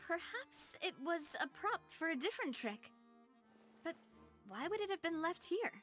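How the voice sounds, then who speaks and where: A woman's voice: very high voice, sing-song, moderate pace, a bit bright, full character voice. A woman voicing a thoughtful, earnest young female investigator in a video game. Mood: curious. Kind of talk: video game dialogue acting. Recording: faint music behind the voice.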